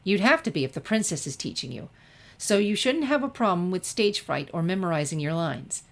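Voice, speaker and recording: A woman's voice: on the low side, expressive, a little fast. A woman voicing an eager, pushy female unicorn drama professor in a story reading, coaxing and insistent.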